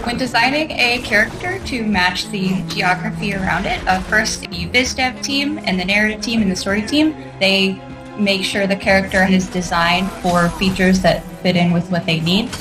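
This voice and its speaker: Female developer, documentary interview. Middle-register voice with a clear music bed. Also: mid-range voice, moderate pace, rough recording. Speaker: game developer.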